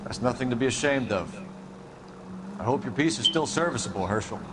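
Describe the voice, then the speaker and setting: An actor playing a stern, needling 1940s police detective in a video game, with clipped, accusatory questioning. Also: male voice, mid-range voice, lively intonation, brisk, noisy background, natural voice. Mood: measured. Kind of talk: dramatic video game acting.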